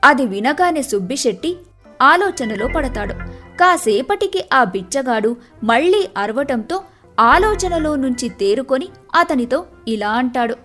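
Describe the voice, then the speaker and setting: A woman's voice: high voice, lively intonation, unhurried, a bit bright, faint music behind. A woman narrating a moral story from a script, in the manner of a storyteller.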